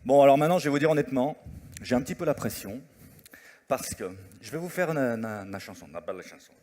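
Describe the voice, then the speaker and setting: A man's voice: mid-range voice, lively intonation, quick pace. A man doing musical stand-up comedy on stage, singing parody songs and chatting with the audience in French with Italian words mixed in. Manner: sings and chats with the audience, mixing French and Italian. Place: stage before a live audience. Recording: live stage recording with loud guitar. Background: guitar accompaniment.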